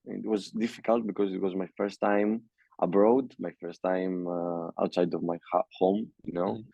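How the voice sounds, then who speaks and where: A young man from Greece with a Greek accent, speaking English as a second language in a casual interview and talking haltingly with many fillers and restarts. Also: sits low, steady tone, creaky, no room sound, dull audio. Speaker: music producer, guest.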